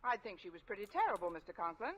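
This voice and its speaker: A woman playing a wry, wisecracking high school teacher in an old radio comedy, quick with dry sarcasm. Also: very animated, a bit bright, slightly rough. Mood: defensive, hushed. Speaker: actress in radio sitcom. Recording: dull, noisy old radio recording.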